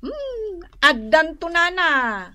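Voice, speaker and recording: A woman's voice: extremely high pitch, very animated, slow, pushed and bright, heavily acted. A woman playing a touchy, quarrelsome village wife in a comedic Ilocano radio drama, exclaiming and complaining.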